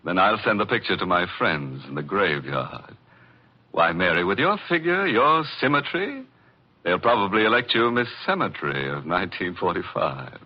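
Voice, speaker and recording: An actor playing a gleeful, mock-sinister horror host with a sardonic, drawn-out theatrical delivery in 1940s radio style. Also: male voice, sits low, big pitch swings. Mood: ghoulish mirth. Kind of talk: 1940s radio horror hosting with ghoulish puns. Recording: muffled vintage 1940s radio, organ music.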